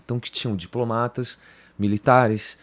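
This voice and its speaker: Here is a middle-aged Brazilian man answering in a podcast chat, his voice sitting in an ordinary male pitch. He wanders through his memories with plenty of restarts and little tag questions. He is heard close on a boom mic in a small home studio. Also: steady tone, normal speed. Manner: rambling, restarts, slangy "cara", "entendeu?". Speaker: podcast guest, guitarist. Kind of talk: casual interview talk. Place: home music studio, indoors. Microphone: studio mic on boom arm.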